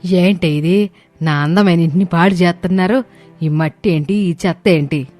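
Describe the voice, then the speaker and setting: A woman playing a haughty, sharp-tongued rich older mother-in-law who scolds imperiously in a dramatized story. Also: low voice, fairly even intonation, moderate pace, soft background music, close to an everyday voice. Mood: outraged.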